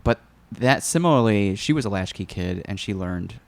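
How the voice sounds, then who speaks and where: A man hosting a casual interview, relaxed and encouraging, asking short questions. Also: on the low side, moderate pace. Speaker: podcast host.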